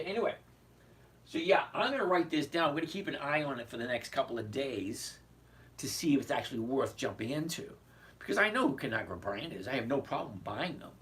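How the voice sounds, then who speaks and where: A man hosting a casual stock-trading livestream, his voice hoarse and cracking as he chats with viewers and keeps asking "okay?". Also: medium pitch, fairly bright tone. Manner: chatty, repeats "okay?", answers viewers. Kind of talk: casual livestream market commentary. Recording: distant mic with faint background noise.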